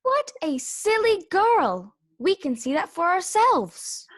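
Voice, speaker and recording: A woman's voice: extremely high pitch, big pitch swings, takes it slowly, full character voice. A woman playing a haughty, scornful talking flower in a children's readers theater story. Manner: haughty, disdainful.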